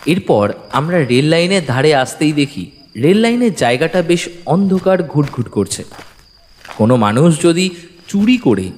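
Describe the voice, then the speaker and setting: A man's voice: a little high, very animated, a little slow, darkish tone. A man narrating a detective audio story in the first person, suspenseful and dramatic. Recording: long reverb, music bed. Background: music.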